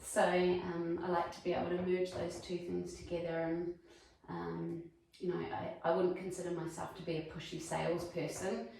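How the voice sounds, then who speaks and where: A woman's voice: fairly low pitch, little pitch movement, moderate pace, creaky, few pauses, darkish tone, a little hiss or hum. A woman in her forties with a New Zealand accent, playing a friendly, chatty real estate agent with many ums. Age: 40s. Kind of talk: acted sales meeting. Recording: echoey room, mic far off.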